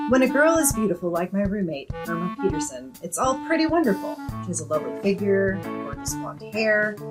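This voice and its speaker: A woman's voice: medium pitch, lightly acted. Radio comedy straight woman played by a woman: young, sensible, wry, widely swinging voice. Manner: wry, expressive. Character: sensible, wry young woman, exasperated yet affectionate roommate. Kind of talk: old-time radio comedy acting and narration.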